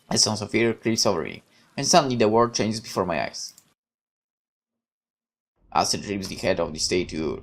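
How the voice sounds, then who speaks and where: A man reading a visual novel aloud in the first person and breaking off for hesitant, rambling asides full of fillers. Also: lowish voice. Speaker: game streamer.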